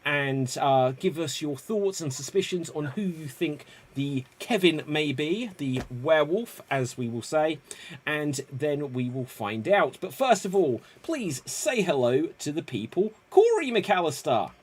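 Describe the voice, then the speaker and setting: A man's voice: on the high side, big pitch swings, measured pace, husky. A man hosting a live improv game over a video call, upbeat and a bit rambling. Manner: upbeat, rambling, self-correcting. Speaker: improv show host. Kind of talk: energetic game-show hosting.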